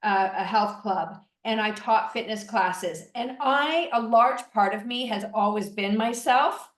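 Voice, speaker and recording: A woman's voice: a little high, measured pace, recorded from a distance. A woman hosting a podcast, talking in an enthusiastic, emphatic way. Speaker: podcast host. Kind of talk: casual podcast conversation. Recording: echoey voice from off the mic.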